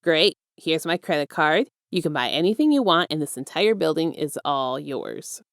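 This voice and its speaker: Woman as a smug, low-voiced villain mastermind. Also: expressive, normal speed, dry recording, studio quality. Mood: pleased. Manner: teasing, coaxing, soft-spoken, self-assured. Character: smug, affectionate male villain mastermind, rich and casual.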